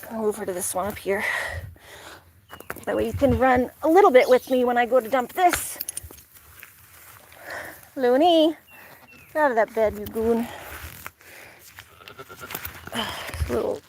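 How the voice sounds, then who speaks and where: A woman's voice: on the high side, measured pace, pauses often, a little mellow, a little hiss or hum. A young woman chatting casually to camera while doing farm chores outdoors, laughing often and cooing at her animals. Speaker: farm vlogger.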